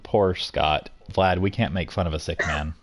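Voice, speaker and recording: A man hosting a live gaming stream, chatting casually with friends and reading out viewer comments in a deliberate, playful way. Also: sits low, fairly even intonation.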